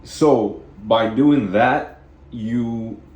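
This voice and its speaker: A man with a deep voice giving a rambling spiritual self-help monologue, halting and repeating phrases as he goes. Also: darkish tone, reverberant, lo-fi sound. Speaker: vlogger.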